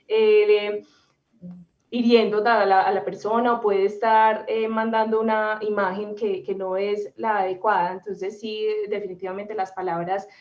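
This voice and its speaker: A woman's voice: medium pitch, level tone, unhurried, darkish tone, far from the mic. A woman from Colombia hosts a conversation, thinking aloud and repeating words as she finds her phrasing. She sits away from the mic in a roomy space. The audio is muffled. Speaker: host. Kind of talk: hosting a conversation.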